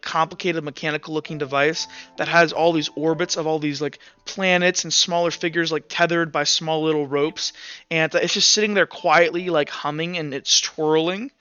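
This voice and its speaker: A man's voice: fairly high pitch, sharp tone, clear, resonant tone. A man narrating as game master of a tabletop game, rambling with lots of fillers. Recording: dull lo-fi recording.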